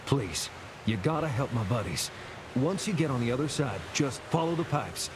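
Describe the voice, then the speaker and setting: An actor playing an oil worker who pleads in an earnest, urgent way. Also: male voice, medium pitch, expressive, moderate pace, lightly acted. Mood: pleading. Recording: distant outdoor game ambience noise.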